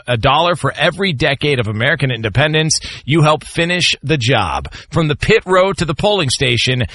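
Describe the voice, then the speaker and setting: A man hosting a sports radio show, moving between lively talk and live ad reads. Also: mid-range voice, dry recording.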